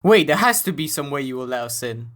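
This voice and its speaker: A man's voice: medium pitch, very animated, quick pace, fairly bright tone. A man playing an earnest, persistent wandering survivor in a game cutscene, speaking plainly. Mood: pleading, determined. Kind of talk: scripted game cutscene dialogue.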